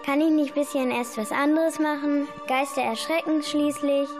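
Young girl as a grumpy, high-voiced old ghost. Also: steady tone, normal speed, over music. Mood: grumpy, reluctant. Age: child. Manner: grumbling, put-upon. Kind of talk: amateur school radio play.

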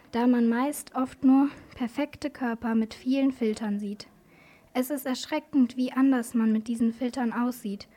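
A woman's voice: high-pitched, warm tone. A woman presenting on a children's radio programme, reading a scripted explainer. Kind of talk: scripted explainer with posed questions.

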